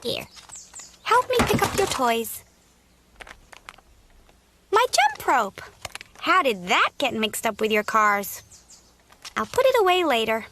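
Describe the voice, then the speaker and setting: A woman voicing a bossy young girl bunny in a children's cartoon, expressive and instructing. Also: a little high, slow pace, pauses often, noisy background. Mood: patiently exasperated. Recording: music behind the voice.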